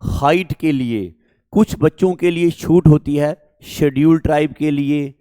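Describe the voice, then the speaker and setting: A male coaching teacher with a sore throat, speaking slowly and deliberately as he walks students through exam details in Hindi. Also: a little high, warm tone, clear, resonant tone, no echo, clean studio sound. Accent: North Indian Hindi. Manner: slow, deliberate, addresses listeners as dear children. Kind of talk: exam information lesson to students.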